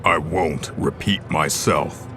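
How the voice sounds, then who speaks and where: A man's voice: a bass voice, slow. A man playing a massive, stern Cyclops bartender in a fantasy audio drama, dismissive and threatening. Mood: menacing. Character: gruff, massive one-eyed Cyclops bartender, stern and principled. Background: faint music.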